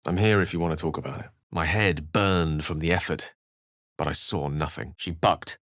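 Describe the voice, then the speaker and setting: A man's voice: extremely low pitch, steady tone, normal speed, a bit bright, no echo, clean studio sound. A man voicing an eloquent, bookish, theatrical wizard in a video game, speaking with refined and wry wording. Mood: reflective. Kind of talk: video game character dialogue and narration.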